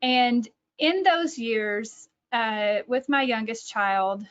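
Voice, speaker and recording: A woman's voice: a little high, lively intonation, slow pace, clean tone. A middle-aged woman with a Texan accent giving an informal online talk, telling personal stories in a conversational, thinking-aloud way. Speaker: speaker, educator and author. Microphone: video call. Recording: dull lo-fi online call audio.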